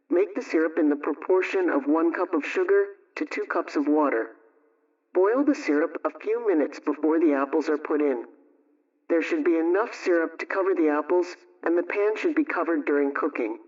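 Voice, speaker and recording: A man reading a scripted vintage radio homemaking show as host, giving measured recipe instructions. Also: on the high side. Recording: dull, distant old-radio sound.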